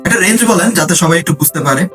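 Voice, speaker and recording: Male host, slightly high voice, informal interview questioning over quiet background music. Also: animated, quick pace.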